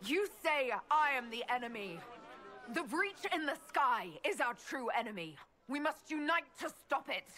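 A woman's voice: sits high, expressive, moderate pace, bright, forceful tone, a faint crowd, a little hiss or hum. An actress playing an earnest, heroic elven woman in a fantasy game. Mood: earnest defiance.

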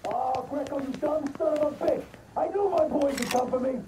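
A man's voice: extremely high pitch, normal speed. An actor playing a defiant, foul-mouthed captive American mobster in a crime game, belligerently taunting his captors. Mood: scornful. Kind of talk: shouted game dialogue. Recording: distant, echoey, noisy game scene.

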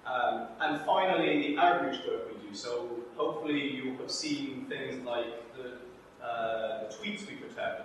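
A man from Edinburgh, director of an institute, opening a workshop with a chatty, wry talk full of ums. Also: medium pitch. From Scotland (Edinburgh). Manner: chatty and self-deprecating, many ums, wry asides. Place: large lecture room with a projector. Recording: very echoey room, voice from far off, faint noise.

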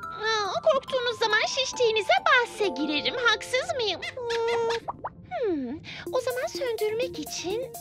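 Kid heroine, about eight, very high pitch, gentle, encouraging, expressive cartoon dub. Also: female voice, unhurried, full character voice. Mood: gentle, concerned. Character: cheerful, caring eight-year-old girl adventurer. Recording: music behind the voice.

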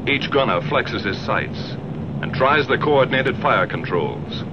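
A man's voice: mid-range voice, expressive, unhurried, noisy background. American man narrating a dated 1940s war film. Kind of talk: 1940s military documentary film narration, scripted. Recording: dull 1940s film soundtrack, loud music. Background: loud film score.

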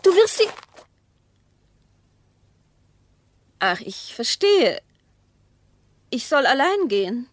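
High-voiced, sharp-tongued distrustful wife. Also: female voice, big pitch swings, unhurried, no echo, clean studio sound. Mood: suspicious. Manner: pointed, sceptical, precise diction. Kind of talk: radio play acting.

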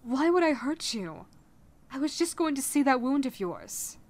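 A woman's voice: sits high, sing-song, moderate pace, darkish tone, full character voice. A woman voicing a caring, teasing, motherly vampire woman in a soothing roleplay audio, coaxing and speaking directly to the listener. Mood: gentle, coaxing. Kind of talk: roleplay audio acting, ASMR-like.

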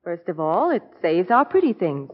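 Animated, pert vintage-radio homemaker. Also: female voice, fairly low pitch, normal speed. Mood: pert, enthusiastic. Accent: American. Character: confident, pert female homemaker praising products. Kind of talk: 1940s radio advertisement acting. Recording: dull, reverberant vintage radio recording.

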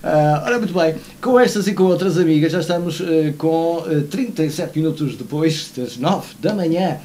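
A man in his forties with a European Portuguese accent, co-hosting a morning TV show and telling animated, self-mocking anecdotes in casual chat. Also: a little high, moderate pace, background noise. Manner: animated storyteller, self-mocking, gestures while talking. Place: small TV studio, seated at desk.

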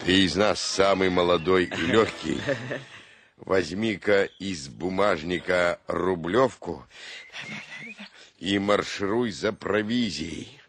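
A man's voice: low-pitched, slight background noise, full character voice. A man playing a sugary, affectionate stage actor, drawling his words in a theatrical story reading. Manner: unctuous, drawling endearments. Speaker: audiobook performer. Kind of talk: theatrical dramatized reading of a classic short story. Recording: music behind the voice.

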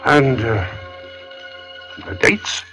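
An actor playing a simple working-class tombstone carver, halting and puzzled, in 1940s radio suspense style. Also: male voice, medium pitch, wildly swooping pitch, sharp tone, exaggerated voice. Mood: puzzled, uneasy. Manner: hesitant, halting, muttering interjections. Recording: old radio recording with music behind.

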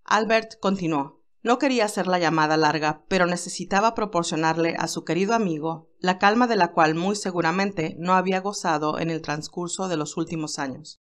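A woman's voice: lowish voice, a little fast, hardly any pauses. A woman reading a scripted story aloud as an audiobook narrator.

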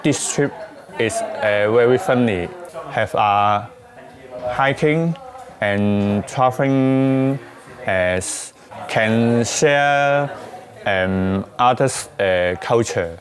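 A middle-aged man with a Hong Kong accent, speaking haltingly and reflectively in an interview, searching for his words. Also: mid-range voice, a faint crowd. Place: small book-lined room, indoors.

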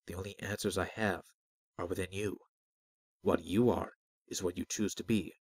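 A man voicing a calm, cryptic disembodied spirit in a fantasy story, soft and measured as he speaks in riddles. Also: low voice, husky, no echo. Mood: solemn, guiding. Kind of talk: fantasy audiobook character.

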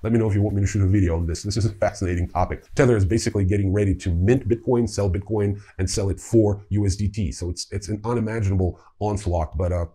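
Deep, husky middle-aged man presenting news. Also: fairly even intonation, quick pace, hardly any pauses, mellow. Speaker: host of a crypto news show. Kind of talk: semi-scripted news rundown with casual asides. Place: indoor room with wooden staircase.

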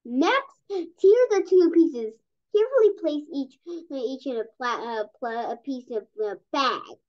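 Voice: sits high, expressive, measured pace. A young-sounding host reading a children's science comic aloud, stumbling over and repeating words. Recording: dull lo-fi recording.

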